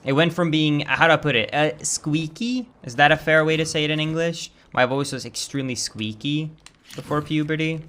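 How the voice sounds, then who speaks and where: Young swedish guy streaming a game, kinda high voice, bright and punchy, chatty and swears when it lags. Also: moderate pace. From Sweden. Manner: casual, chatty, swears and flares up over game lag. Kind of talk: live-stream gameplay commentary.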